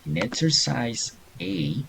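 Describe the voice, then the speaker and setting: A man's voice: on the high side, sing-song, slow, creaky, a bit bright, slight background noise. A middle-aged man teaching a beginner English class online, patiently encouraging students and reading answers aloud.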